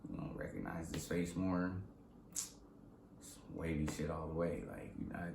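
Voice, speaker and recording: A young man with a very low voice chats about where he comes from in a casual, slangy way. He speaks in an echoey room, with a faint background hum behind him. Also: moderate pace, vocal fry. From Southern California. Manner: slangy, animated storytelling with laughs. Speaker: rapper guest. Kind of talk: casual interview chat.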